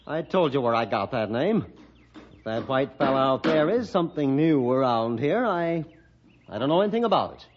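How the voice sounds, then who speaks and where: Man acting a defensive, animated American cabin owner. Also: mid-range voice, normal speed, background noise, natural voice. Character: defensive male tourist cabin owner, ex-rodeo roper. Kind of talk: 1960s radio drama acting. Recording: dull vintage radio recording.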